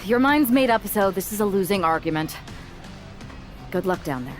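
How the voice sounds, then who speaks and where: An actress playing a candid, teasing confidante with a dry, skeptical delivery in a video game cutscene. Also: female voice, mid-range voice, expressive, normal speed, faint music behind, lightly acted. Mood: concerned, skeptical. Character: candid, teasing young woman, wrestler's longtime confidante.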